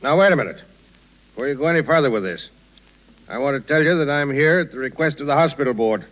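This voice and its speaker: A disapproving, formal small-town official, played by a male actor in a 1930s radio drama. He is brusque and self-important, with lively intonation. The sound is dull and vintage. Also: on the high side, fast talker, lightly acted. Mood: stiff, insistent.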